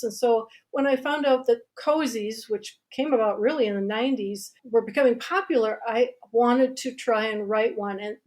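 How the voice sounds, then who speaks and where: A woman with a fairly high voice speaks as an interview guest. She is chatty and expansive, explaining things in a casual, conversational way. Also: a little mellow. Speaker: guest, mystery author and writing teacher.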